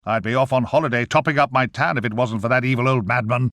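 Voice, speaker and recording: An actor playing a wry, chatty, overworked grim reaper in a video game, with a theatrical manner. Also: male voice, mid-range voice, steady tone, fast talker, a bit bright, no echo, clean studio sound, close to an everyday voice. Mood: wry, confiding. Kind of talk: scripted video game character acting.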